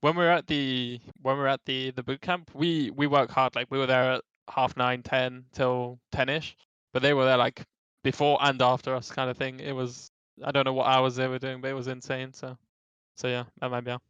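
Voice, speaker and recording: A man, an esports player, chatting low-key in an interview, his sentences trailing off. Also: flat delivery, moderate pace, fairly bright tone, smooth, no echo, dull audio. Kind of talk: casual interview chat.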